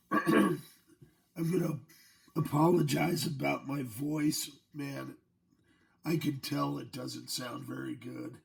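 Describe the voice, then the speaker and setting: A middle-aged man talks slowly and loosely, his voice worn hoarse by a virus. It has a heavy gravelly fry, and he chuckles through his asides. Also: fairly high pitch, fairly even intonation. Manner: joking, chuckling between remarks. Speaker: channel host. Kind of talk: casual demo vlog.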